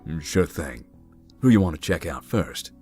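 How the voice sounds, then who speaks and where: A very low male voice plays a giant, laid-back man in a horror visual novel. His delivery is folksy and dry, with lively swings in intonation. Music plays behind him. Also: moderate pace, slightly rough. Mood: calm, wry. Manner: deadpan, folksy, wry. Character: giant, easygoing, wry man exploring a haunted hospital.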